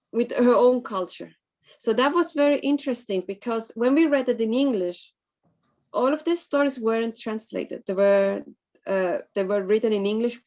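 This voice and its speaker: Woman giving a halting online talk. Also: a little high. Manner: informal, halting, restarts her sentences. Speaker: presenter on English teaching.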